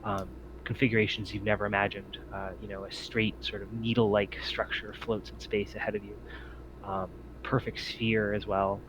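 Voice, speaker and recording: A man with a fairly low voice runs a tabletop roleplaying game as game master. He narrates haltingly, working out descriptions as he speaks. Faint noise sits behind him. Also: flat delivery. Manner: halting, thinking aloud.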